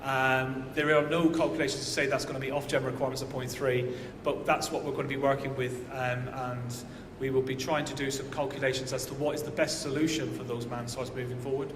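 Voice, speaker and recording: A man's voice: mid-range voice, level tone, a little fast, hardly any pauses. A man answering audience questions at a conference, hesitant with many fillers. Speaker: housing association manager answering questions. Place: conference hall. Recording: echoey hall with audible noise.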